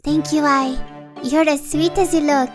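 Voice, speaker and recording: Woman playing a high-voiced gentle young healer. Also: steady tone, a little slow, over music. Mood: polite, pleased. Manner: polite, composed, slightly formal. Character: gentle, modest young female healer with a sweet voice. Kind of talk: fan audio drama acting.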